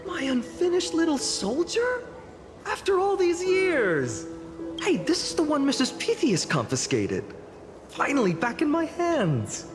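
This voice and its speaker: An easygoing, wistful village youth in a fantasy game. The delivery is slow and very animated in pitch, with music behind. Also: exaggerated voice. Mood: surprised.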